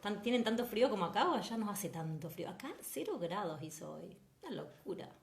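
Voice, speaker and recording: A woman's voice: mid-range voice, fast talker, vocal fry. A woman with an Argentine accent hosting a casual live stream, greeting viewers and chatting with her guest. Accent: Argentine Spanish. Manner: lively, greeting viewers warmly. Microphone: video call. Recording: roomy echo.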